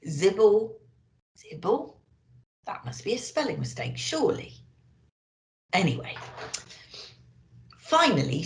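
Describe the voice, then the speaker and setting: A woman reading a picture book to children as a storyteller, in an expressive and deliberate way. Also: fairly low pitch, roomy sound. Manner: deliberate, animated, addressing children.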